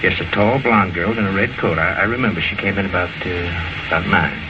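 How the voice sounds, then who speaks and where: A man playing an unhurried, pondering drugstore clerk in an old radio drama, speaking hesitantly. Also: deep voice, background noise, lightly acted. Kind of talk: 1940s-50s radio drama acting. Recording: dull, distant old radio, loud music.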